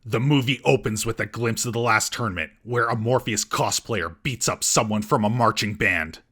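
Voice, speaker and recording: A man's voice: lowish voice, normal speed, a bit bright, husky, faint music behind. A man hosting a scripted comedic film recap in a sarcastic, mocking manner. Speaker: comedic film reviewer and host.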